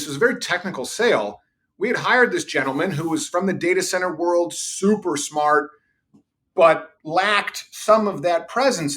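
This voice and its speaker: A middle-aged man, a sales executive, telling confident stories from his career in a podcast interview. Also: high voice. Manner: confident, anecdotal, says he has a loud voice. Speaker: revenue executive guest.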